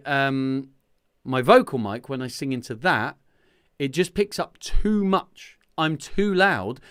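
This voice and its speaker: A man's voice: mid-range voice, a bit bright. A man hosting a singing group livestream, chatting casually to viewers in a rambling, self-deprecating, jokey way, speaking into a talking mic at a home setup. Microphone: talking microphone beside a separate vocal mic.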